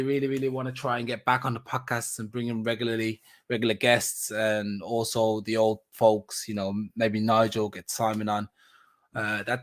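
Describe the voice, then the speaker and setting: A man in his thirties hosting a podcast, chatting casually with lots of ums, repeated words and restarts. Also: lowish voice. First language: not German. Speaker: podcast host. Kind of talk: casual solo catch-up chat.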